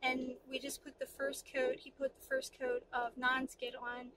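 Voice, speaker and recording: A woman's voice: sits high, monotone, moderate pace, recorded from a distance, background noise. A woman in her forties, chatty and upbeat, talking about her boat project into a phone at arm's length. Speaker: sailing vlog host. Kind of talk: casual chat. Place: outdoors at a boatyard, then inside a car. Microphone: phone camera held at arm's length.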